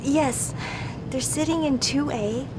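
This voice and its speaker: Woman playing high-voiced tense flight attendant. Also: slow. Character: tense female flight attendant reporting from aircraft. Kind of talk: urgent in-flight report. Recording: loud aircraft cabin noise.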